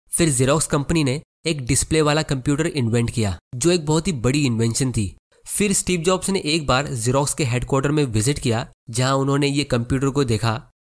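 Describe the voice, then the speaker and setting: A man narrating a scripted explainer about analyzing stocks, who addresses viewers as friends and reads checklist questions slowly. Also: a little high. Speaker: finance educator.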